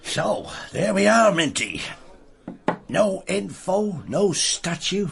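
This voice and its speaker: Radio drama detective: brooding inspector, slow, creaky, disgruntled delivery. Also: male voice, high voice, very animated, background noise, heavily acted. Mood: resigned, brooding. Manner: brooding, ruminative. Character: dogged, disgruntled police inspector.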